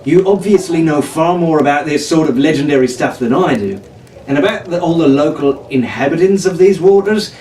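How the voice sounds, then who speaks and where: In an echoey room, a little away from the mic, a man voices a cocky teenage boy pirate from a storybook. He is flippant and glib, a sardonic young rogue who trips over his own words. The pitch sits on the high side for a male voice. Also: expressive, a little fast, darkish tone, recorded from a distance, a little hiss or hum, lightly acted. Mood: urgent, persuading.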